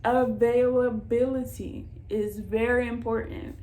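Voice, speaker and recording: A woman's voice: on the high side, fairly even intonation, measured pace, warm tone, low-quality recording. A young American woman chatting casually as she gives advice, in an informal, reassuring way. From Southern United States. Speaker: vlogger giving job advice. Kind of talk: casual advice chat to camera.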